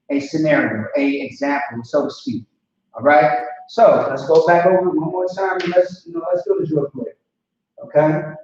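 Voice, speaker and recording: Male teacher, chatty and repetitive, recorded distant and boomy. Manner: casual, repetitive, checks understanding often. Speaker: language teacher. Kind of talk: informal online language lesson. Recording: distant lo-fi mic in a very echoey room.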